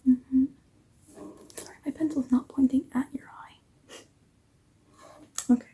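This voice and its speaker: Tired whispering woman, mellow ASMR voice. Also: high voice, fairly even intonation, measured pace. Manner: soft whispering, apologetic asides. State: tired and yawning. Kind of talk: ASMR face-measuring roleplay.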